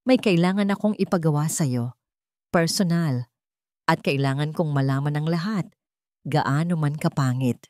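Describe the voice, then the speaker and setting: A woman's voice: low voice, moderate pace, pauses often, warm tone, no room sound, studio quality, natural voice. Woman acting a grave, terse billionaire husband. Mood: grave, determined. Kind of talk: audio drama storytelling.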